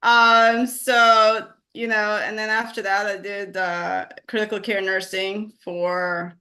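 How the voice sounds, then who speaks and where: A woman's voice: medium pitch, flat delivery, slow, pushed and bright. A woman in her forties from Guyana, a nurse practitioner telling her story as an interview guest, with many fillers and easy laughs. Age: 40s. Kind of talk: interview conversation.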